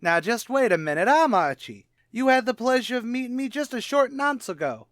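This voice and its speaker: Man playing a brash, animated tavern wiseguy. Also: very high voice, moderate pace, dry recording, clean studio sound. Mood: brash, chummy. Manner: streetwise slang mixed with pompous affected words. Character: brash wisecracking tavern manager man, mock-genteel malapropist. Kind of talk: 1940s radio comedy acting.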